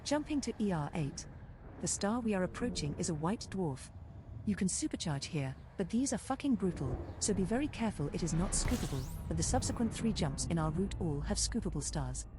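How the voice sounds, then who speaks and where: A woman's voice: fairly low pitch, big pitch swings, brisk. A woman voicing a cheeky, helpful spaceship navigation computer, making scripted co-pilot announcements in game. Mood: matter-of-fact. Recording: noisy game audio.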